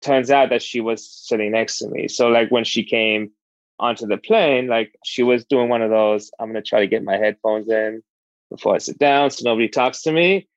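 A man in his thirties casually telling his own love story in a relaxed, rambling way, with plenty of fillers. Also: medium pitch, moderate pace, dry recording, dull audio. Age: 30s. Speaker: interviewee.

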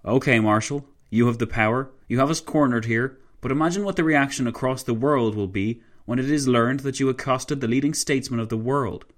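Man playing a shrewd, pointed prime minister, raised pitch. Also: fairly quick, hardly any pauses, close to an everyday voice. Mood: challenging. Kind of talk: dramatised historical narration.

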